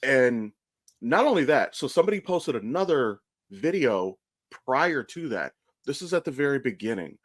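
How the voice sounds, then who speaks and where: An American man talks casually as a podcast co-host. His voice sits at a medium pitch and is recorded dry, with no room around it. He tells things bluntly and animatedly, swearing freely as he goes. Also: moderate pace, dry recording. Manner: blunt, profane, animated storytelling. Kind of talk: casual chat.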